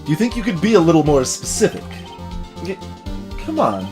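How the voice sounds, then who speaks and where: A streamer acts out a flustered young man from a video game, evasive and quick to get defensive. He stammers and swoops widely in pitch over background music. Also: very animated, darkish tone, lightly acted. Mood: defensive, reluctant. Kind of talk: video game dialogue read in character.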